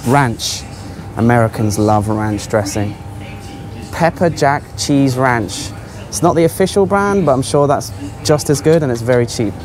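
A man's voice: mid-range voice, a little slow, a crowd in the background. A man giving casual, offhand, exclaiming commentary as a travel vlogger walking around a supermarket. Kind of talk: casual running vlog commentary. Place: large supermarket aisles.

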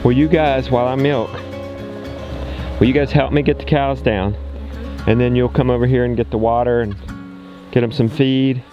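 A man's voice: mid-range voice, fairly even intonation, normal speed, pauses often, highs cut off, soft background music. A man in his thirties talking casually and encouragingly, a folksy father vlogging through farm chores. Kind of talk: casual vlog talk while doing farm chores.

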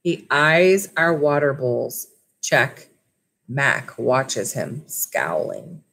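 A woman's voice: rumbling low, sing-song, very slow, vocal fry, fairly bright tone. A woman in her thirties, a school library teacher, reading a storybook aloud and talking informally to children. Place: small indoor room at home with wood-panelled walls. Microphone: webcam or laptop mic at arm's length.

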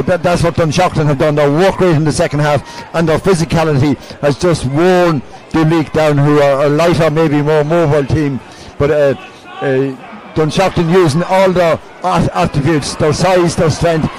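A man's voice: fairly high pitch, fairly even intonation, moderate pace, few pauses, poor audio. An Irish man giving conversational analysis as co-commentator at a live Gaelic football match. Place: outdoor stadium stand. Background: light crowd noise.

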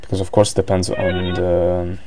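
A man's voice: low-pitched, little pitch movement, moderate pace, music in the background. A man with an Italian accent commentating a board game live, hesitant, with many fillers and restarts.

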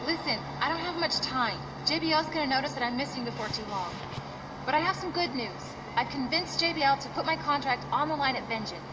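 A young female wrestling valet lays down the law in a video game, voiced by a woman. Her voice is high and firm. It sounds distant, with loud game noise underneath. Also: fairly quick, lightly acted.

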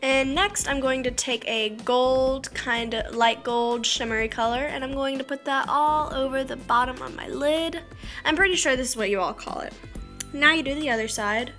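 A teenage girl with a slightly scratchy, fairly high voice talks through her makeup routine in a small bedroom. She rambles, jokes at her own expense and lets her words trail off into mumbles. The built-in camera mic sits at arm's length, with faint music in the background. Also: pushed and bright. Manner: rambling, self-mocking, drifts to a mumble. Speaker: beauty vlogger. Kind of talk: casual makeup tutorial chat. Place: small bedroom by a curtained window.

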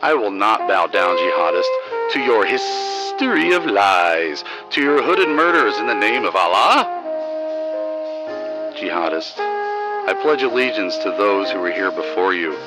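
A man hosting, reading scripted material in a declamatory, deliberate way. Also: on the low side, very animated, over loud music, low-quality recording. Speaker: host. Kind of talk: scripted news narration and poem reading.

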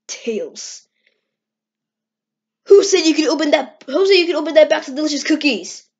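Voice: sits high, expressive, normal speed. A performer voicing a cocky, teasing young male hedgehog with slangy talk in an amateur cartoon comic dub. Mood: annoyed. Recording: dull, lo-fi screen-recorded sound.

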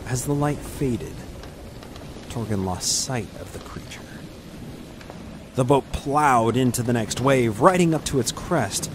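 A man's voice: medium pitch, animated, unhurried, noisy background. A man narrating a scripted adventure story in an intense, dramatic storytelling manner.

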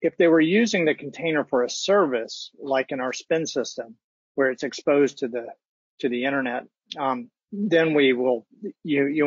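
A man's voice: medium pitch, breathy edge, no room sound. A man speaking as a technical panelist in an unscripted discussion. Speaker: panelist from a supercomputing research facility. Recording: dull, lo-fi online call audio.

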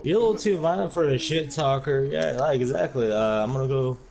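A man's voice: medium pitch, a little fast, gravelly, crowd noise. A man chatting casually while he plays an online match, laughing, stammering and trash-talking with teammates. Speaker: gamer streaming online matches. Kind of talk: casual gaming chat.

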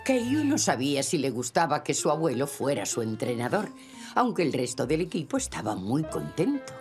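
A woman with a Castilian Spanish accent narrating a children's cartoon in an expressive, gentle storytelling style. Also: low-pitched, moderate pace, over music.

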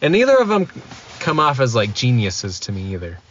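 A man's voice: medium pitch, huge pitch swings, brisk, a bit bright. A man chatting casually as a podcast guest. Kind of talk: casual chat. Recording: dull lo-fi sound, faint noise.

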